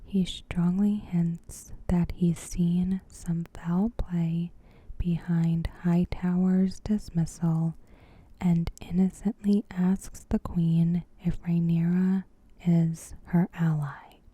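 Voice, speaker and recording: A woman softly whispering a scripted recap as an ASMR host, in a gentle, breathy, measured way. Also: lowish voice, little pitch movement. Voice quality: breathy, whispered soft speech. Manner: gentle, unhurried, evenly measured.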